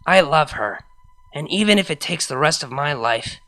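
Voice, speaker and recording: Creaky, bright-voiced anxious young pony mare acted out by a reader. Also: medium pitch, animated, moderate pace. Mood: tender, resolved. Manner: introspective, hesitant, emotional monologue. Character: anxious, lovestruck young female pony DJ, guilty and self-doubting. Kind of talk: first-person dramatic fan fiction reading.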